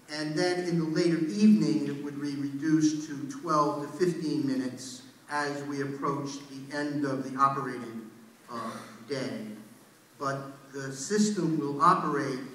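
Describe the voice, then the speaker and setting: A man giving a formal, halting explanation as an official at a public meeting. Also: fairly high pitch, steady tone, fairly bright tone. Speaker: transit program official. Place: large indoor public meeting room. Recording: very echoey hall, distant mic, faint noise.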